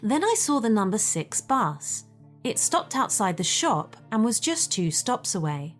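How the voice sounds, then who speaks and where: A woman's voice: a little high, big pitch swings, unhurried, soft background music. A woman with a British accent reading a scripted first-person story as a storyteller.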